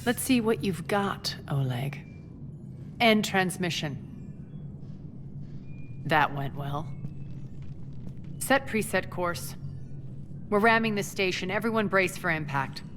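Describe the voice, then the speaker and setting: A woman playing a ruthless, imperious crime boss in a video game, commanding, sardonic and deliberate. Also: on the low side, animated, noisy background. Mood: dry, defiant. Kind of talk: dramatic video game acting.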